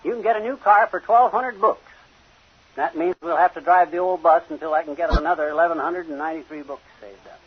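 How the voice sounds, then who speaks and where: An actor playing a stubborn, folksy, penny-pinching husband in an old-time radio comedy, blustering and arguing. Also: male voice, on the high side, steady tone, a little fast, breathy edge. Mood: stubborn, scheming. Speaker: comic lead in a radio sitcom. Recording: dull vintage radio recording.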